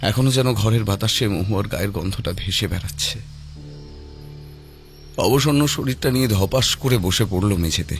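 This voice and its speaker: Male horror audiobook narrator, lowish pitch, dramatic suspenseful phrasing, scripted read over loud music. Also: normal speed.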